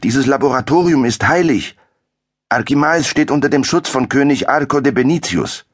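A man voicing a young, hot-headed apprentice boy, shouting indignantly. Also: medium pitch, lively intonation, moderate pace, breathy edge, pitched above the performer's own voice.

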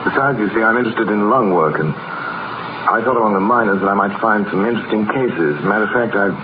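A man's voice: fairly low pitch, fairly quick, close to an everyday voice. Man as earnest young doctor, noisy vintage radio. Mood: earnest, polite. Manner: earnest, stubbornly principled. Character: earnest, idealistic young doctor new to practice. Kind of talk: 1940s radio drama acting. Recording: noisy, muffled 1940s radio recording.

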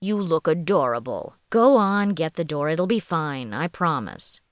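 A woman voicing a calm, supportive woman friend, playful and reassuring, in audiobook dialogue. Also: sits low, unhurried, dry recording, clean studio sound.

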